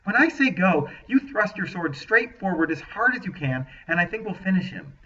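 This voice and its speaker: A man voicing an urgent young woman, hushed and higher. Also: animated, moderate pace, breathy edge, distant mic, muffled recording, heavily acted.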